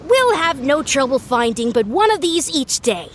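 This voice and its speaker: An earnest young warrior in a video game with a high voice. The speech is pompous and formal, sweeping up and down theatrically, with slight noise behind it. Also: female voice, very animated, normal speed. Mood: earnest, grand. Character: earnest, grandiose young warrior devoted to beetle battling. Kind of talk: animated video game voice acting.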